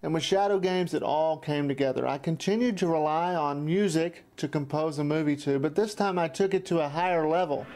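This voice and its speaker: A man's voice: a little high, a little fast, few pauses, darkish tone, slight background noise. A man narrating a scripted look back as a filmmaker.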